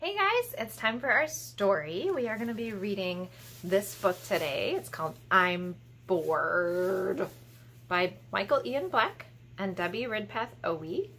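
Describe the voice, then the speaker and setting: A woman's voice: medium pitch, lively intonation, measured pace, a bit bright, a little hiss or hum. A middle-aged woman hosting a children's storytime, speaking expressively and directly to young listeners as if reading aloud. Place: small indoor room. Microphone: camera a short distance away.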